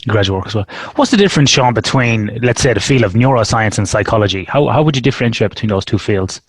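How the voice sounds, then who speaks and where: Podcast host guy, fairly low voice, chatty long questions, super dry close mic. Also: expressive, fast, slightly rough, no echo. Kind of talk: casual interview hosting. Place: Western Australia.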